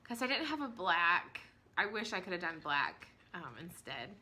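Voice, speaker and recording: Hoarse chatty woman with a cold. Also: fairly low pitch, big pitch swings, normal speed, bright, forceful tone, slight background noise. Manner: chatty, apologetic, many ums and small laughs. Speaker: knitting podcast host. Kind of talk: casual chat to camera. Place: at home, indoors.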